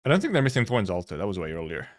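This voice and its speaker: A man live streaming in casual chat, rambling and haltingly thinking aloud, with some offhand swearing. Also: lowish voice, big pitch swings. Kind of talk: casual chat, reacting to viewers.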